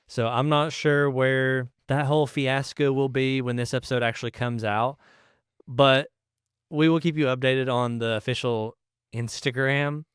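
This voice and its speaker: A man co-hosts a podcast in relaxed, everyday conversation. His voice sits at a medium male pitch and sounds clear and smooth. It is recorded dry, with very little room sound. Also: measured pace, dry recording. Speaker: podcast co-host. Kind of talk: casual chat.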